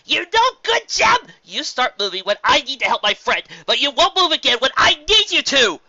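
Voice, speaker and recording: Brash young female pegasus for a storybook, acted by a narrator, high, forceful, impatient, on a dull recording. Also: very animated, normal speed, full character voice. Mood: furious.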